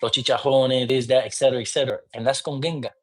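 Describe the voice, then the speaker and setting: A man talks through spiritual practice in a loose, conversational teaching style. He drops Spanish words into his English and keeps checking in with "you get me?" His pitch is in the ordinary middle range. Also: fairly even intonation, quick pace. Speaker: priest teaching divination. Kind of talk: casual teaching talk.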